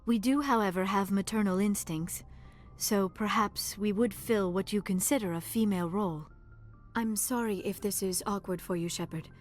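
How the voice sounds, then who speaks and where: A woman's voice: medium pitch, moderate pace, soft background music, natural voice. An actress playing an earnest, shy female alien in a video game, speaking formally and carefully, with some hesitation. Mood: tentative, earnest. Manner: formal, careful, hesitant phrasing without contractions. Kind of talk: video game cutscene dialogue acting.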